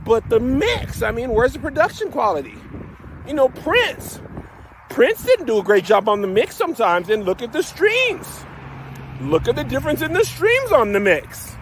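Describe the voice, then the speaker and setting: A man doing a folksy, jokey bit in a high, swooping voice, playing a fussy critic. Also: huge pitch swings, normal speed, slight background noise, low-quality recording.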